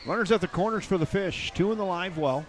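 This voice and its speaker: A man's voice: quick pace, low-quality recording. Folksy older man calling a ballgame. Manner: folksy, chatty, jokes between pitches. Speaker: play-by-play announcer. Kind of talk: baseball play-by-play commentary. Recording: crowd noise in the background.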